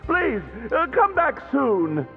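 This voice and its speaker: Muffled, echoing voice of a shy young man in a game. He is very high-pitched and creaky, stammering and apologetic as he politely pleads. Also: huge pitch swings, slow, heavily acted. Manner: hesitant, stammering, overly polite. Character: timid, apologetic, flustered young man pleading from inside a box. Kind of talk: video game voice acting. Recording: muffled, reverberant, faint music behind.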